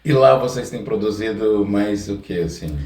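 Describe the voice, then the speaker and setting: A Brazilian man hosting a casual interview, feeling out his questions in halting fragments. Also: fairly low pitch, darkish tone. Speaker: podcast host interviewing. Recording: echoey, distant from the microphone.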